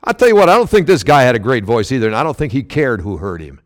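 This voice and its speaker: A man preaching a sermon as pastor, loud and emphatic, with sudden swells and drops in his delivery. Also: mid-range voice, sing-song, fast.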